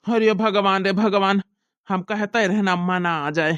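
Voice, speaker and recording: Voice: medium pitch, steady tone, normal speed, fairly bright tone, smooth, muffled recording, lightly acted. A performer voicing a put-upon, resigned village husband with an Awadhi accent, plaintive and fussing, in a family comedy cartoon. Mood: anxious.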